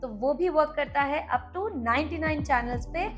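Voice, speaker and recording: A woman's voice: high voice, moderate pace, music in the background. A woman with an Indian accent hosting a casual product comparison, explaining things and mixing English words into her speech. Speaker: product review host.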